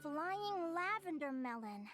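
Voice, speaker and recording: Game mascot: childlike female sprite, extremely high, animated, emphatic and huffy, over light music. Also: takes it slowly, heavily acted. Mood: indignant. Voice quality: squeaky, childlike. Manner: exclaiming, emphatic. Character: tiny flying childlike mascot, female, cute, indignant. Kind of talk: video game character acting.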